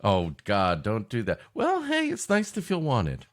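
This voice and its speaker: A man's voice: fairly low pitch, wildly swooping pitch, measured pace. A man co-hosting a podcast with comedic banter, riffing in a wry, playfully sarcastic way. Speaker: podcast co-host.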